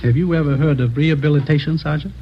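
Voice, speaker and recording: A man's voice: a little high, steady tone, fast, close to an everyday voice. A man acting a genteel, ingratiating older confidence man in a 1950s radio drama. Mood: wounded, indignant. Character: smooth-talking, courteous older male confidence man. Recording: muffled, noisy old radio recording.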